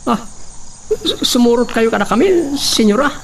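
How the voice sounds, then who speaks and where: A man acting a scheming, obsequious henchman in Ilocano-accented radio drama, with a creaky voice and a distant, noisy recording. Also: very high voice, animated, normal speed, heavily acted. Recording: distant mic, faint noise and insects.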